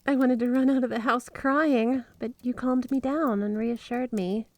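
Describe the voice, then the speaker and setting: A woman playing a flirty, obsessively devoted yandere wife in an intimate ASMR roleplay, gushing straight to the listener with drawn-out emphasis. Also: fairly high pitch, fairly even intonation, a little mellow, no room sound, close to an everyday voice. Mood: flustered, excited. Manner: gushing, breathy giggles, drawn-out emphasis on words.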